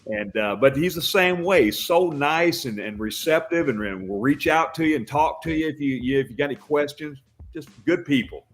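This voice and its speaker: An older man who works as a Santa, a guest on a podcast, talking in a loud booming voice with frequent restarts. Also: animated, normal speed, soft background music. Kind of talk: casual podcast chat.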